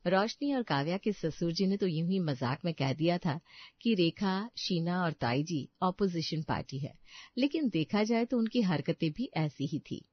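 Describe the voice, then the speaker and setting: A woman's voice: lowish voice, expressive, moderate pace, dry recording, lo-fi sound. A woman narrating a scripted moral story as its storyteller.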